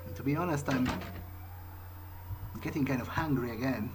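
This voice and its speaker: A man's voice: medium pitch, background noise, close to an everyday voice. A man playing a newly turned vampire, thinking aloud in a hesitant, pragmatic, wary way as he role-plays a video game. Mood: pensive. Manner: hesitant, halting musing. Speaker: gamer role-playing his character. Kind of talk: in-character gameplay thinking aloud.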